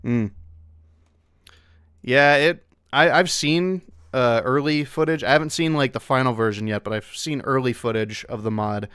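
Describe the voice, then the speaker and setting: Smooth-voiced man hosting a stream. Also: mid-range voice, normal speed, dry recording, studio quality. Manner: relaxed, chatty, with frequent fillers. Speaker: streamer hosting a mod playthrough. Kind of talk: casual live-stream chat.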